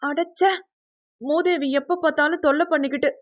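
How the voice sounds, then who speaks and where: A woman's voice: fairly even intonation, moderate pace, natural voice. A woman voicing an emotional, feisty college girl in a dramatic, pouting manner for an audio novel. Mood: irritated. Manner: dramatic, pouting, high-strung.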